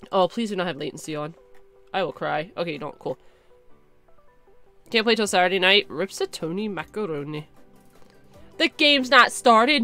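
A young woman streaming a game, chatting casually and excitably with her viewers through a headset, her voice slightly hoarse. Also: mid-range voice. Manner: chatty, excitable, talks to chat. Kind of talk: casual live-stream chat.

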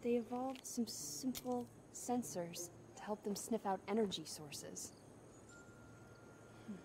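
An actress playing an earnest, resourceful young female survivor in a video game, speaking in a soft-spoken way. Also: a little high, takes it slowly, noisy background. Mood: earnest, thoughtful. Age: young adult.

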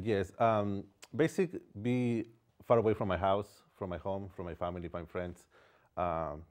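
This is a man in his forties with a deep, low voice and an Ecuadorian Spanish accent. He speaks as a guest in a small TV studio, picked up on a lapel mic. His English comes slowly and haltingly as he searches for words. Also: a little mellow. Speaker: guest artist. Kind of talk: casual interview chat.

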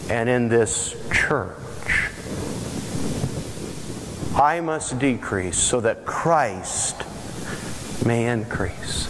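An older man preaching a sermon as pastor, earnest and emphatic, with drawn-out pauses, standing in a large church sanctuary. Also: mid-range voice. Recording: long church reverb, faint hiss.

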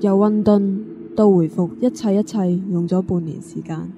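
A woman's voice: medium pitch, fairly even intonation, normal speed, muffled recording, loud music behind the voice, natural voice. A woman narrating a radio drama in a scripted, storytelling manner. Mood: somber. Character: female narrator of a world struck blind.

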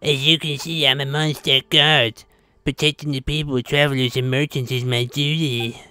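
A man's voice: medium pitch, flat delivery, normal speed, bright, forceful tone, clear, resonant tone, no echo, lightly acted. An actor playing a dutiful, formal male city guard in a video game, stiff and courteous. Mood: formal greeting.

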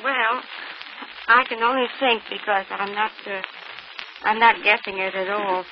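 A woman of medium pitch thinks aloud hesitantly and informally as a guest on 1940s radio. Her voice comes through a dull broadcast recording with clear noise. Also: steady tone, unhurried. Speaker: guest panelist, magazine editor.

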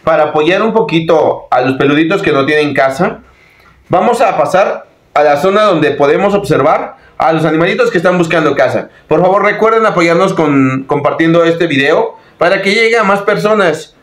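A man's voice: a little high, normal speed, fairly bright tone. A middle-aged man with a Mexican accent hosting an aquarium video channel, talking upbeat to his viewers from a small office. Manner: upbeat, addresses viewers as friends. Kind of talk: enthusiastic video presentation. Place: small indoor office with posters.

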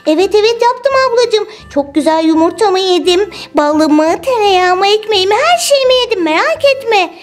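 A woman voicing an excitable, cheeky little girl in a toy play video, with a high, childlike, squeaky voice. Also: moderate pace, few pauses, soft background music. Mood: cheerful.

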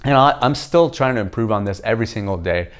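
A young man with a deep voice, hosting a casual to-camera book review from his home kitchen and explaining points in a relaxed way that often checks in with the viewer. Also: fast. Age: young adult. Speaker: video host reviewing a book. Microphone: camera facing him at chest-up framing.

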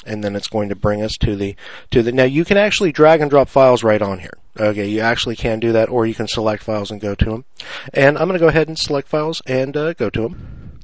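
A man's voice: medium pitch, fast talker, dry recording. A man presenting an unscripted screencast tutorial, explaining things casually, step by step.

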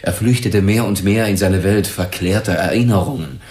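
Actor as low-voiced troubled businessman in roomy echo. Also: normal speed, darkish tone, lightly acted. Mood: subdued, confiding. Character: defensive, troubled businessman under police questioning, grown son. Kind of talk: German radio crime drama acting.

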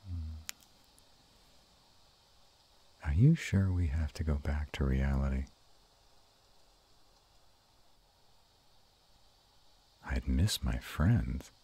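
Man playing a very deep, gravelly were-dragon lover. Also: mellow, heavily acted. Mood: tender, relaxed. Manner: slow, halting, intimate murmur. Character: gruff but tender male were-dragon lover. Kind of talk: intimate romantic audio roleplay.

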